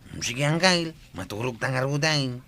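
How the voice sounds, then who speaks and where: A man's voice: mid-range voice, animated, normal speed, bright, forceful tone, a little hiss or hum. A man acting a contrary, matter-of-fact village nephew in a comedic audio drama.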